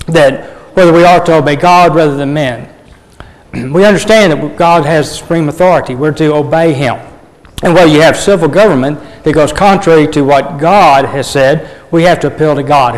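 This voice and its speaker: A man preaching a sermon in a measured way, often clearing his throat as his voice starts to give out. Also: a little high, in a large hall, rough recording. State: losing his voice. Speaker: pastor.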